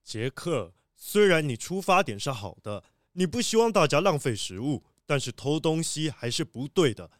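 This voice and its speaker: Firm, animated wolf policeman. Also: fairly high pitch, moderate pace, few pauses, no echo, clean studio sound. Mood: firm, sympathetic. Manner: firm, admonishing. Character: stern but kindly big wolf police officer. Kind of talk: children's audio drama acting.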